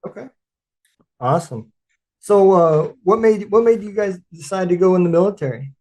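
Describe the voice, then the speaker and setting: A man hosting a podcast, asking questions in a relaxed, chatty way with many fillers. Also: high-pitched, normal speed. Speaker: podcast host. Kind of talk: casual interview chat.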